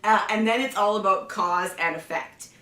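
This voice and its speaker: A woman with a cold hosting a casual book review, chatty, often laughing and clearing her throat. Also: medium pitch, normal speed, bright, forceful tone. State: has a cold. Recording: roomy echo, somewhat far from the mic.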